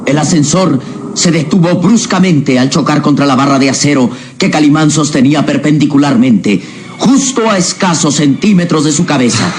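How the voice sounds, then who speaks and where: A man's voice: on the high side, normal speed, a little hiss or hum. A man narrating a scripted radio drama in a dramatic, suspenseful manner. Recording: dramatic music underneath.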